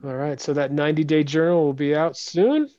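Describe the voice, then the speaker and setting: Soft-spoken man hosting a podcast. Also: fairly high pitch, brisk, no room sound, muffled recording. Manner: soft-spoken, hesitant with restarts. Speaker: podcast host. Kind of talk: casual interview chat.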